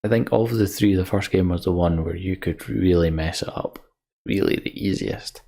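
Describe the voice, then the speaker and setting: A man giving casual, reflective game commentary as a let's play host, halting and wistful, often sighing. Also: deep voice, vocal fry, dark tone. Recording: music behind the voice. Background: game soundtrack music.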